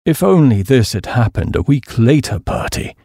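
A man voicing a dejected, penniless young English gentleman with a British accent and a downcast delivery. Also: mid-range voice, big pitch swings, normal speed, slightly rough, no echo, clean studio sound. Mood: despondent, wistful.

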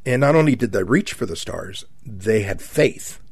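A seventy-year-old male radio host with a husky, slightly breathy voice, at a middle pitch for a man. He speaks in a folksy, rambling monologue, stopping and restarting with many fillers. The sound is dry and close, with no room around it. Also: expressive, darkish tone, dry recording. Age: 70s. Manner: halting, with many fillers and repeated words; folksy, addresses listeners as my friends. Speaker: radio talk show host.

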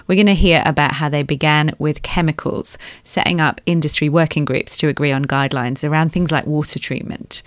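A woman with an Australian accent hosting a podcast in a bright, chatty way. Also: deep voice, steady tone, fairly quick, few pauses, dry recording, studio quality. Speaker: podcast host.

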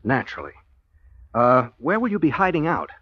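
An actor playing an eager, naive young ex-soldier turned private detective in a 1940s radio drama, with an American accent and a folksy, rambling, polite manner. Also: male voice, medium pitch, wildly swooping pitch, moderate pace, husky, dry recording. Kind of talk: 1940s radio drama acting, chatty first-person narration. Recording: muffled 1940s radio recording, music behind.

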